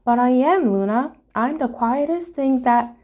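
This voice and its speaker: A woman's voice: sits high, lively intonation, unhurried, mellow, pitched above the performer's own voice. A woman voicing a small young male dragon, chatty, needy and eager, in a chirpy, pleading manner for a storybook. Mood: boastful, eager.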